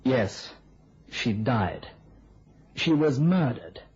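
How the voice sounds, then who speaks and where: An actor playing an eager young male reporter in an old radio drama, chatty and a little theatrical, with a husky voice. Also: medium pitch, expressive, very slow, a little mellow, full character voice. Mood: grave, relishing. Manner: chatty, storytelling, slightly theatrical. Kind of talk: mid-century radio drama acting. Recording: muffled old-time radio recording.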